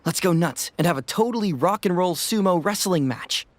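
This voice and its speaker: A man's voice: high voice, lively intonation, moderate pace. A man voicing a breezy, self-assured young detective in a video game, with a playful, knowing and teasing manner. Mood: wry, amused. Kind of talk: video game cutscene dialogue. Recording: music under the voice.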